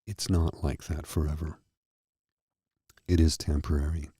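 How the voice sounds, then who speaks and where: A man with a very deep, dark, husky voice speaks slowly and earnestly, a tired frog in his throat. The sound is dry and close, with no room around it. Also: flat delivery, dry recording. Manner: slow, earnest, heartfelt. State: tired and a little froggy-throated. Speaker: host. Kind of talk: candid personal talk to viewers.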